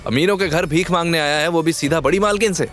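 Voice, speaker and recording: A man's voice: fairly high pitch, quick pace, a bit bright, soft background music, voice pushed up, natural voice. A man playing a rude, hostile security guard in an audio drama, barking in coarse street slang. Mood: shouting, mocking. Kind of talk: Hindi audio drama acting.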